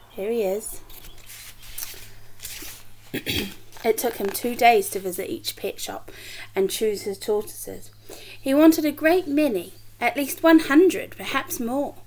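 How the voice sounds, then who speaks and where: A woman's voice: on the high side, rough recording. A woman reading a children's story aloud like a teacher, expressively, with chatty asides to her young listeners. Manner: animated, acts out dialogue, chatty asides.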